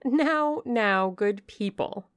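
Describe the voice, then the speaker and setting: A proud, imperious young lady of rank, loud and commanding, as a woman narrator puts her on, with a gravelly undertone in a dry, close reading. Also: a little high, very animated, measured pace, no echo, voice pushed up, heavily acted. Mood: scornful. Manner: commanding, scornful. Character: haughty, imperious young noblewoman.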